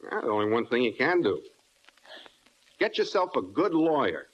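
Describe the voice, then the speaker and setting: An actor playing a shady, smug sidekick who mixes mock-pompous wordiness with slang in old-time radio comedy style. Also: male voice, mid-range voice, sing-song, normal speed, dull audio. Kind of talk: 1940s-50s radio comedy acting.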